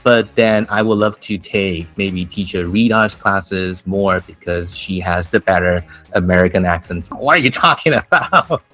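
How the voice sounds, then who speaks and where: A man with a Taiwanese accent who teaches English, chatting casually and telling animated stories with laughs and restarts. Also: low-pitched, unhurried, hardly any pauses, faint music behind. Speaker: English teacher, podcast host. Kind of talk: casual chat.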